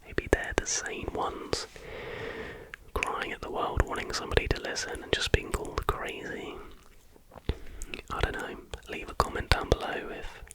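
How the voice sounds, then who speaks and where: A man whispers softly and without hurry in an informal ASMR narration, as the host of the video. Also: moderate pace, low-quality recording.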